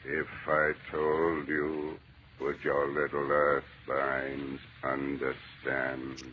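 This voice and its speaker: An actor playing a haughty, menacing alien creature from space in a 1930s radio drama, talking down to humans. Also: male voice, a bass voice, completely flat delivery, full character voice. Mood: haughty menace. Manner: slow, menacing, condescending. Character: haughty alien head of grey flesh from space. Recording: muffled, noisy 1930s radio recording.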